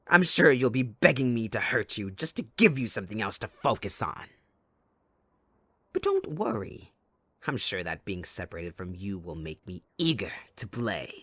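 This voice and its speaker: Theatrical, sadistic villain, wildly swinging pitch. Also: male voice, no room sound, full character voice. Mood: playful threat. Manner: theatrical, mocking, drawn-out menacing delivery swinging between soft and loud. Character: sadistic, gleeful male supervillain kidnapper taunting his captive sidekick. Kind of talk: theatrical ASMR audio roleplay.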